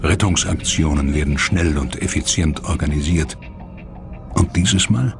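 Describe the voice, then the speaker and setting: Very deep male documentary narrator. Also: unhurried, faint music behind. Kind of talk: dramatic scripted narration.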